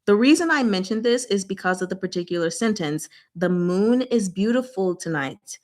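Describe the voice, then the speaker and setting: A woman hosting a casual book discussion, retelling scenes in a giggly, animated, slangy way and acting out the dialogue. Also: medium pitch, moderate pace.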